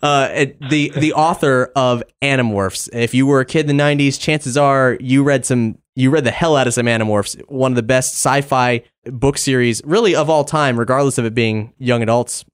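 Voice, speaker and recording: A man's voice: medium pitch, moderate pace, few pauses, smooth. A man hosting a casual podcast in a playful, bantering way with a touch of mock grandness. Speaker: podcast host.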